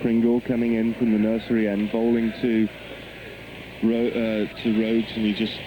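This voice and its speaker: Low-pitched male cricket commentator on noisy shortwave. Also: completely flat delivery, moderate pace, lo-fi sound. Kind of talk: live radio sports commentary. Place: cricket ground commentary position. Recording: noisy shortwave radio broadcast.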